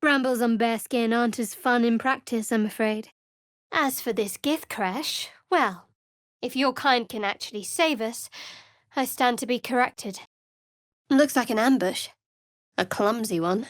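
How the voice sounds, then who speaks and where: Game role, woman performer: secretive young female cleric, sardonic and guarded, lightly high register, very dry studio sound. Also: a little slow, dry recording, natural voice. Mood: wry, dry, then alert. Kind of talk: fantasy video game voice acting.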